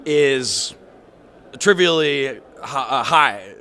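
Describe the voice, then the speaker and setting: A man interviewing a guest as a friendly skeptic, talking fast and swearing casually. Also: medium pitch, animated, pushed and bright. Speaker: interviewer, startup founder.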